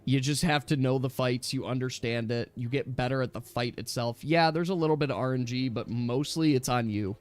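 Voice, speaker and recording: A man chatting casually and at length as a gaming stream host, emphatic and animated, often correcting himself. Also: medium pitch, a little fast, hardly any pauses. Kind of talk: casual rambling gamer chat. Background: background music.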